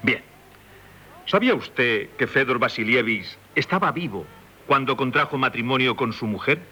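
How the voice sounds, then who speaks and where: Male, Castilian Spanish, bright forceful delivery: a courteous, probing examining magistrate, formal radio drama style. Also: mid-range voice, lively intonation, moderate pace. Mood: stern, official. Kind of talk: 1970s Spanish radio theatre acting.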